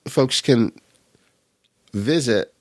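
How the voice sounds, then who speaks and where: A man's voice: medium pitch, very slow, a little mellow, dry recording, clean studio sound. A man from upstate New York co-hosting a podcast, talking in a friendly way with lots of filler sounds. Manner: friendly, with many filler uhs. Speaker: podcast co-host. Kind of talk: casual podcast chat. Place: home in upstate New York, remote recording.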